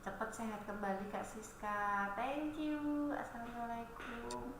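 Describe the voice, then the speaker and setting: A woman's voice: fairly high pitch, unhurried, fairly bright tone. A woman hosting a live stream with a stuffy nose from a cold, chatting casually and greeting viewers by name as she reads their comments aloud. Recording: very echoey room with noise.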